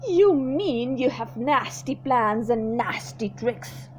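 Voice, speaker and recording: A woman doing a loud, outraged elephant. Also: animated, slow pace, a little hiss or hum, low-quality recording. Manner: loud, high, outraged cries. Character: big male elephant, indignant.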